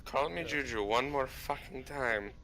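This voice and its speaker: A man's voice: medium pitch, unhurried, poor audio. A man chatting casually as a tabletop game player, blunt and given to swearing. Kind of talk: casual tabletop role-play chat.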